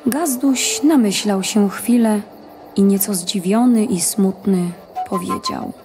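A woman narrator reads a scripted storybook tale for an audio play. Her voice sits at a middle pitch. Music runs clearly behind her. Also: slow.

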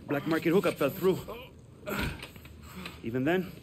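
An actor playing a wry, weary young field medic in a video game, with a sardonic manner. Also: male voice, a little high, unhurried, lightly acted. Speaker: doctor. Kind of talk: video game cinematic acting. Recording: noisy ambience behind the voice.

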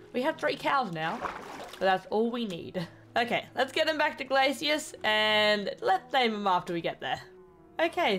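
A woman's voice: mid-range voice, a bit bright. A young woman giving casual, chatty commentary while playing a game and narrating each step. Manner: chatty, upbeat, self-narrating play. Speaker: gaming channel host. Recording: faint background music.

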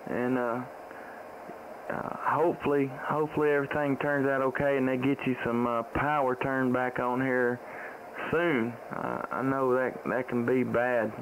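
A man's voice: mid-range voice, moderate pace, rough recording. Folksy Southern US man, faint static. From United States. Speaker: ham radio operator, live-stream host. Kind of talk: casual radio contact chat. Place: small indoor home radio room. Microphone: boom-arm studio microphone, close, with headset. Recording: steady radio static noise.

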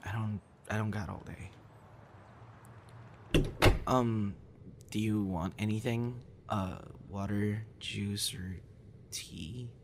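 Male actor as guarded young friend in an audio drama: lowish voice, slow hesitant pacing, stammers. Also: background noise. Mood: curt, guarded. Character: busy, guarded young man, awkward with close friend. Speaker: audio roleplay performer. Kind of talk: intimate ASMR boyfriend roleplay acting.

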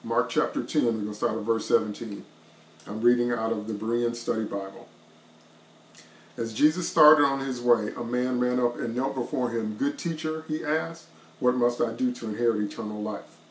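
A man's voice: medium pitch, moderate pace, slight background noise. A middle-aged man preaching an online devotional sermon to a webcam from a small wood-paneled room, with an emphatic, repetitive cadence. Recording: roomy echo.

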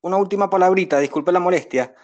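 Higher-voiced young Venezuelan man, explaining earnestly. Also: fast talker, darkish tone, highs cut off. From Venezuela, born in Caracas. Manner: earnest, repetitive explaining with apologies to the judge. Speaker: defendant speaking at a court hearing. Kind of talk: spontaneous testimony, pleading his case. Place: indoors against a plain wall, on a video call. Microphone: phone at arm's length on video call.

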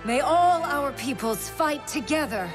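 An actress playing a noble, devoted warrior princess in a fantasy game, speaking in an earnest, regal and formal way. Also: female voice, high-pitched, lively intonation, unhurried, over music. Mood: resolute, regal.

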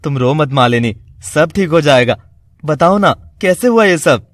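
A man's voice: a little high, expressive, unhurried, no echo. A man playing a devoted, worried young college student in a cartoon story, earnest and reassuring.

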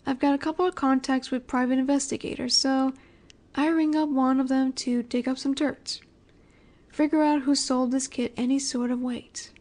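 A woman playing a flirty, menacing, self-assured mafia boss in an audio roleplay, speaking coyly and deliberately. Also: sits high, steady tone, a little mellow, lightly acted. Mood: composed, sly. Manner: coy, teasing, deliberate, sometimes breathy. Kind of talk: scripted audio roleplay, addressing the listener.

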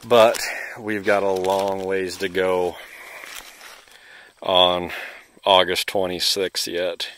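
A deep-voiced man with a Midwestern American accent walks through his field as he talks. His delivery is slow and unhurried, with an edge of brightness that carries in the open air. He is understated and hedges his judgments, speaking off the cuff. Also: no room sound. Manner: understated, hedging. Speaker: farmer hosting a field video. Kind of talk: casual unscripted field walk-through. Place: outdoors in a cornfield. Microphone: handheld camera or phone, operator behind it.

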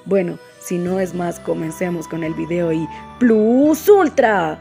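A woman's voice: on the low side, measured pace, gravelly, warm tone. A woman giving a scripted channel introduction as its host. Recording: music bed under the voice. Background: music.

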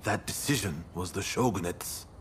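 An actor playing a proud, distrustful middle-aged samurai leader in a video game, speaking formally with measured weight. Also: male voice, low voice, slight background noise, lightly acted. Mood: stern. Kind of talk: dramatic video game acting. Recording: loud game music behind the voice.

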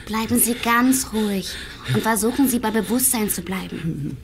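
An actress playing a composed young blind nurse with a soothing, deliberate way of talking and a hidden cold streak, in a German audio drama. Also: female voice, medium pitch, noisy background, lightly acted. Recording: music behind the voice.

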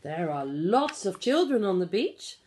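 A middle-aged woman reading a picture book aloud to young children, explaining and asking simple questions in an expressive way. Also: medium pitch, unhurried. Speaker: pre-school storyteller. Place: small living room at home. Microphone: phone or tablet at about arm's length.

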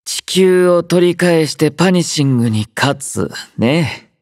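Languid young soldier, slow and expressive. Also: male voice, on the high side, dry recording, studio quality. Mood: calm, wry. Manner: languid, dryly teasing, understated. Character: aloof, laid-back young male soldier, quietly caring. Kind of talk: Japanese anime-style game character voice lines.

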